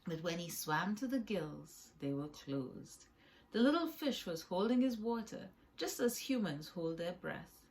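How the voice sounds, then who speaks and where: A woman's voice: on the low side, animated, unhurried, darkish tone. A woman reading her own children's book aloud as a storyteller, with short asides to the listener.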